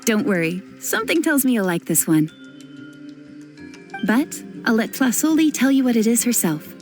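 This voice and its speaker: A woman playing a composed, kindly young tribeswoman in a fantasy video game, telling things in a measured, reassuring way. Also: fairly high pitch, very animated. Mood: calm, kindly. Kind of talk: video game character acting. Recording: music behind the voice.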